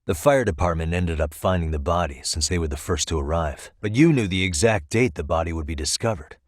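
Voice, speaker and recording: A male actor voicing a composed, determined private detective in a video game, with a measured, probing delivery. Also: low voice, dry recording, clean studio sound. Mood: pressing, accusing. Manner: measured, probing, matter-of-fact. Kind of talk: English video game dialogue dub. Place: dry recording studio.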